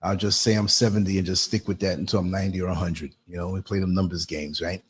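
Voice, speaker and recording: A man in his late fifties with a husky voice, hosting an informal motivational livestream monologue and repeating phrases for emphasis. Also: low voice, flat delivery, fast talker, slight background noise. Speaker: livestream host and podcaster.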